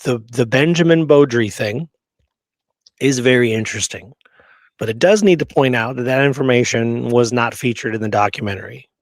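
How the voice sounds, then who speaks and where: A man's voice: medium pitch, moderate pace, no echo. Man in casual history talk, dry close sound. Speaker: host of a history discussion show.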